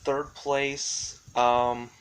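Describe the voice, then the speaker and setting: A man's voice: mid-range voice, flat delivery, extremely slow, poor audio. A man giving casual, rambling solo commentary as a vlogger, thinking aloud and often qualifying what he says in a tentative way. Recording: clearly audible background noise.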